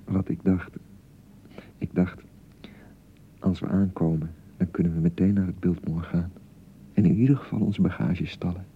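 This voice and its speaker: A man acting an excitable young newlywed husband in a radio drama, by turns blustering and awkwardly pleading. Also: sits low, moderate pace, long pauses, narrow, tinny audio, slight background noise. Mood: awkward, coaxing. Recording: thin, band-limited 1990 radio broadcast.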